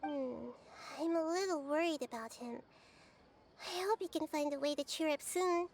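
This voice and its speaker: A woman voicing a gentle, wise, childlike girl deity in a video game, speaking thoughtfully and articulately. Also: high voice, moderate pace, a little hiss or hum. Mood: concerned, kind.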